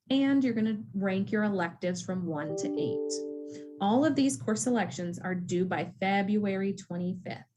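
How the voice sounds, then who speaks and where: A woman's voice: mid-range voice, mellow, low-quality recording. A woman who works at a school walks students through course selection in a friendly, casual way, with frequent ums. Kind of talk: casual informational talk to students.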